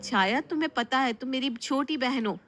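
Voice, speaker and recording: A woman voicing a gentle, bewildered elder sister-in-law in a family story, questioning with affection. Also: high-pitched, moderate pace, pitched above the performer's own voice. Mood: puzzled, pleading. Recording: clear background noise.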